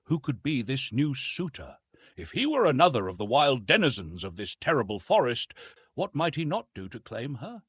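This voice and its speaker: A man reading an adventure novel as an audiobook, with expressive, dramatic storytelling. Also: medium pitch, normal speed, breathy edge, dry recording, studio quality. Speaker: audiobook narrator. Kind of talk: scripted narration of an adventure novel.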